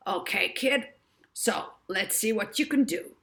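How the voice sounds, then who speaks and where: A woman's voice: mid-range voice, a little slow, vocal fry, fairly bright tone, voice pushed up. Woman playing a gushing, brash male showbiz agent. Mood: brisk, appraising. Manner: gushing, slangy, showy. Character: brash fast-talking male showbiz agent, effusive and treacly. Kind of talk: audiobook character voice.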